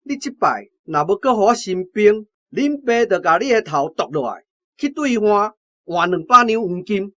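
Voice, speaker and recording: Blustering crude army sergeant, very animated. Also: male voice, sits high, moderate pace. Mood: gruff, blustering. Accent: Taiwanese. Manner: blustering, swearing, coarse. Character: crude, foul-mouthed, fat, lazy male army sergeant.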